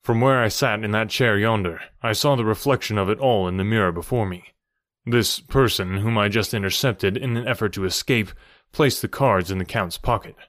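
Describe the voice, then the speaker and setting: A man voicing a calm, commanding young gentleman stranger in an audiobook, measured and formal. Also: lowish voice, no room sound, clean studio sound, lightly acted. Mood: calm, explanatory. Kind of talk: audiobook character voice.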